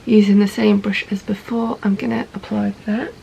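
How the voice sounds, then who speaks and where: A woman with a croaky voice calmly narrating a makeup tutorial step by step. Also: medium pitch, normal speed, lo-fi sound, slight background noise. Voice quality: gravelly. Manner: calm, methodical, narrates each step as she goes. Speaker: makeup vlogger.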